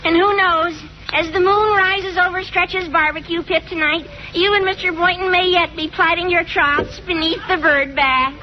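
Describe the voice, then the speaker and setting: An eager, earnest schoolboy in an old radio sitcom. The voice is squeaky and extremely high. It comes through a muffled, slightly hissy vintage recording. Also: male voice, fairly even intonation, moderate pace, few pauses. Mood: eager, flowery. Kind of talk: 1940s radio sitcom acting.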